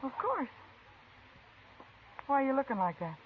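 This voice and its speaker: A woman's voice: high-pitched, very animated, unhurried. An actress playing an eager young frontier mother in a 1950s radio drama, with a breathless, earnest delivery. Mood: hurt. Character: eager young mother hoping to leave town. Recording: tinny vintage radio broadcast with hiss.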